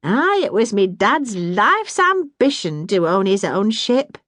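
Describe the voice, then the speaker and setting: A woman voicing a middle-aged mother, bossy but warm-hearted, in a brisk, scolding dialect. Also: medium pitch, sing-song, dry recording, studio quality, voice pushed up. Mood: fond.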